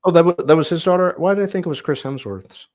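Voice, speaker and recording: A man on a fan discussion panel, giving mild opinions in a casual, measured and slightly hesitant way. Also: on the high side, darkish tone. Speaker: panelist on a pop-culture review show.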